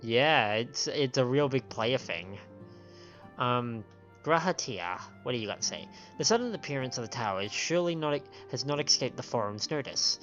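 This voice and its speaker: Androgynous streamer voice, middle pitch, reading game dialogue aloud, stumbling over words, dull lo-fi mic, music behind. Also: lively intonation, moderate pace, fairly bright tone. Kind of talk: live game stream commentary and read-aloud. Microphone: microphone on a stand.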